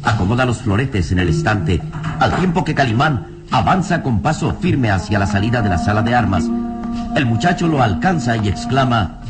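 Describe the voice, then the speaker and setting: A man's voice: mid-range voice, very animated, normal speed. A man narrating an old radio serial from a script in a solemn, declamatory, dramatic way. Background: faint music.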